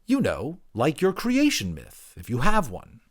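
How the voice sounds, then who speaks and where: Audiobook role, man acting: polite anthropologist, inquisitive and eager to please. Lifted pitch, swooping intonation. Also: huge pitch swings, unhurried, heavily acted. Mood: eager, coaxing. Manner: fussily polite, placating, inquisitive. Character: eager, overly polite, mopey-turned-keen male anthropologist interviewer. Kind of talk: audiobook character voice.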